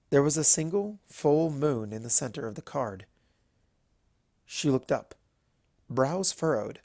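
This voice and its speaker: A storyteller reads scripted fiction with lively, widely moving intonation. The pitch is medium and the reading is expressive. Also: dry recording, studio quality. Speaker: narrator.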